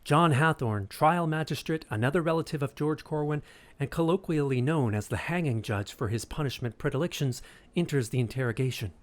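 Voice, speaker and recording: A man giving measured, solemn scripted narration for a historical audio drama. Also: on the high side.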